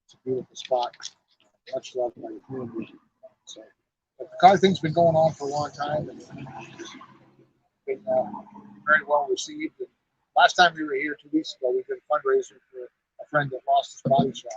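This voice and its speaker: A man's voice: fairly high pitch, big pitch swings, brisk, vocal fry, long pauses, slightly rough, no room sound. A middle-aged man, president of a car club and owner of a garage, chatting in an easy, folksy way during an outdoor interview at an evening car show. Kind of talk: casual on-site interview. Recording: dull lo-fi live stream sound.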